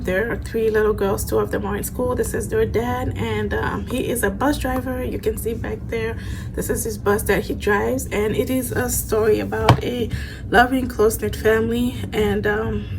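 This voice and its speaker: A woman from Haiti, an author in her thirties, talking casually to a phone camera in a room with bookshelves, pausing often with ums and you-knows. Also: on the high side, background noise. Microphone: phone camera at arm's length.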